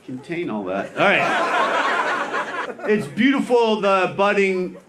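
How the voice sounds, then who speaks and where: A man doing stand-up crowd work, teasing audience members in a halting back-and-forth. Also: high-pitched, huge pitch swings. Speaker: stand-up comedian. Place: comedy club stage with audience laughter. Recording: roomy live club, loud crowd noise.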